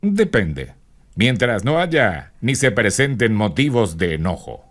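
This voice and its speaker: An actor playing a suave, candid male film star answering in a dramatized magazine interview, with measured, courtly phrasing. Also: on the low side, very animated, heavily acted.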